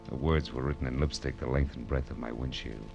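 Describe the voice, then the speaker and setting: An actor playing a sardonic, hard-boiled private eye in an old radio noir, with wry, clipped delivery. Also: male voice, a bass voice, vocal fry, muffled recording. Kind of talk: 1940s-50s radio noir acting and narration. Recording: vintage radio broadcast recording.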